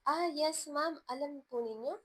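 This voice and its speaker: Narrator lady doing a polite young lady teacher, deferential and thankful, pitched up. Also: a little slow. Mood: polite, surprised. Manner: courteous, grateful, deferential. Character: polite, worried young woman teacher studying for a masters.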